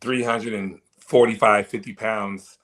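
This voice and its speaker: A man guesting in a casual interview, hoarse and tired, rambling and repeating words. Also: lowish voice, steady tone, unhurried, a bit bright. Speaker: guest, event organizer.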